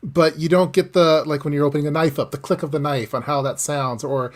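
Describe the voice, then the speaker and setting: A man hosting a casual live interview, talking in a halting way with lots of restarts and small laughs. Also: on the high side, fairly even intonation. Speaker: host.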